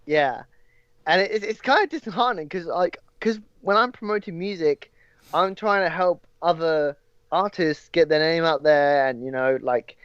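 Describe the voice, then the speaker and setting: A man's voice: fairly high pitch, normal speed, fairly bright tone, clear, resonant tone, no echo. A twenty-year-old man from England, a podcast guest who chats casually and rambles with frequent restarts. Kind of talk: casual chat. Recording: dry, slightly dull audio.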